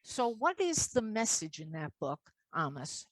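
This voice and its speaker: Show host, woman, mid-pitched, dry close recording; questioning and insistent. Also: sing-song, measured pace, no echo. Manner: questioning, repeats words for stress. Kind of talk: interview hosting.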